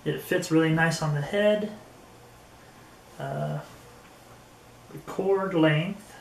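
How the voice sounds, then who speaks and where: A man giving a casual, unscripted product demonstration, thinking aloud and haltingly as he handles things. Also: on the high side, vocal fry. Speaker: product reviewer. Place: indoor room. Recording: echoey room, faint background noise.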